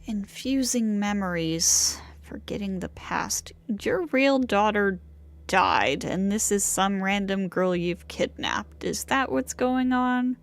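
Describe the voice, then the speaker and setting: An actress playing a serious young heroine in a video game, speaking bluntly and firmly. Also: female voice, mid-range voice, lively intonation, slow pace. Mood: wary, probing. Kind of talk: dramatic video game voice acting.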